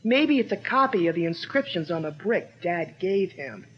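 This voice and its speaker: A woman's voice: on the low side, lively intonation, moderate pace, slight background noise, natural voice. A woman playing an eager young female assistant. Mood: curious. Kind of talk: 1940s radio drama acting. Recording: dull lo-fi old radio recording.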